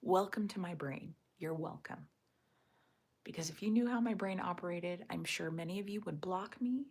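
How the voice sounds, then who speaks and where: A woman hosting a casual morning livestream from home, talking in a hushed half-whisper while others sleep, rambling and joking as she reads the chat. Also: on the low side, normal speed, slightly rough. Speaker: livestream host reading chat. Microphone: phone or camera on a tripod.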